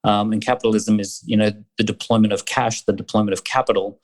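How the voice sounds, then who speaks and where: A man's voice: on the low side, little pitch movement, quick pace. A man running an accelerator, talking earnestly with many fillers and restarts. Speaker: accelerator founder. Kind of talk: conversational interview.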